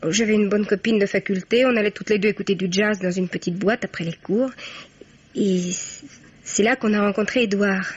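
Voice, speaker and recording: An actress playing a frank, cheeky young student daughter in a 1950s French radio mystery. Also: female voice, mid-range voice, steady tone, brisk, breathy edge, lightly acted. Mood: chatty, amused. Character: brash, wry young student daughter, freshly jilted. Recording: dull vintage radio recording.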